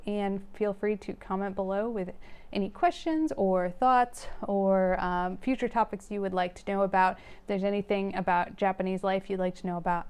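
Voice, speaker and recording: A woman's voice: mid-range voice, a little mellow, clear, resonant tone. A woman hosting her own knitting vlog, chatting casually and directly to her viewers in a rambling way, with plenty of fillers and small laughs. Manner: friendly direct address to viewers. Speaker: knitting vlogger, host. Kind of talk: casual chat to camera.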